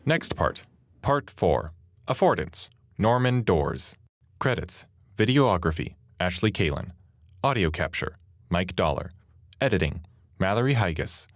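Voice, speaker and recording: A man reading scripted narration that describes slides and credits in a plain, even way. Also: deep voice, measured pace, no room sound, clean studio sound.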